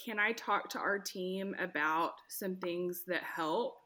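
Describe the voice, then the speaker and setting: Bright-voiced woman speech therapist. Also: lowish voice, slow. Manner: earnest, expressive, speaks in quoted examples. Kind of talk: conversational interview.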